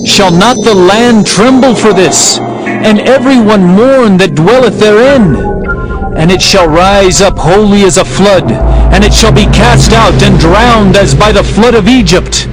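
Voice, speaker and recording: A man's voice: sits high, unhurried, rough recording. A man narrating a dramatized King James Bible reading in a solemn, declamatory manner. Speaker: scripture narrator. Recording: music under the voice. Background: music.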